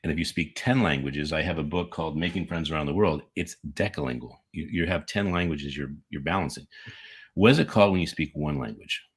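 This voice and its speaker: Very deep husky American man. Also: a little fast. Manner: rambling, jokey, self-correcting. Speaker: podcast co-host. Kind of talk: casual chat.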